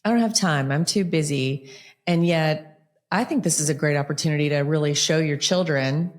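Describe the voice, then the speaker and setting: A woman hosts a podcast interview with a low-pitched voice. She asks her questions in a friendly, encouraging way. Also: moderate pace. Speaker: podcast host. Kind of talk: interview hosting.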